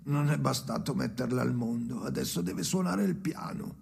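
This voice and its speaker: An actor playing a weary, brooding old man with a creaky, gravelly voice in an experimental radio play. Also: medium pitch, lively intonation, moderate pace, heavy background noise. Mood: bitter.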